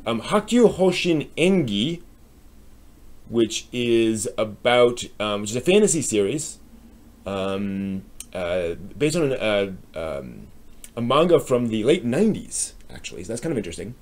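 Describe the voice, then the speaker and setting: A man with an American accent hosting a live stream, giving a casual news rundown in a hesitant, halting way as he thinks aloud. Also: mid-range voice, big pitch swings, a little mellow. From United States.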